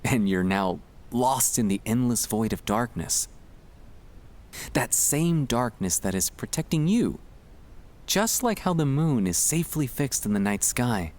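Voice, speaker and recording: A man speaking in a gentle, soothing, reassuring way, delivering a scripted ASMR guided relaxation to help the listener sleep. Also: medium pitch, very animated, measured pace.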